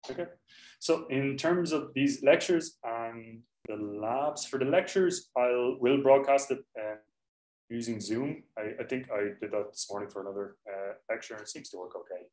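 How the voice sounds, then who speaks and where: A man's voice: mid-range voice, moderate pace, a little mellow. A young man giving an informal university lecture in a chatty, self-correcting way, heard through a laptop mic on the desk. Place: lecture hall. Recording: echoey lecture hall.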